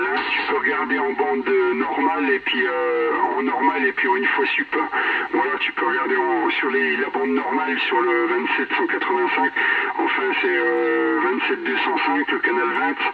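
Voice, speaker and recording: Guy on cb radio, muffled and super noisy, creaky voice, chatty, repeats himself a lot. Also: a little high, huge pitch swings. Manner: halting, explanatory, repeats himself. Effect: heard over two-way radio. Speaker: amateur CB radio operator, channel owner. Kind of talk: casual two-way radio chat.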